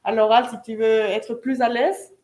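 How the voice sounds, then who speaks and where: A young woman with a higher voice and a non-native ear for French answers a host's questions, often stopping to hunt for the right word. Her phrasing is halting and a little clumsy. The room gives her voice a noticeable echo. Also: fairly even intonation, a little mellow. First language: not French. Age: young adult. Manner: searches for words, hesitant non-native phrasing. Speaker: first-year business student. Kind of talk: live interview answers. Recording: echoey room.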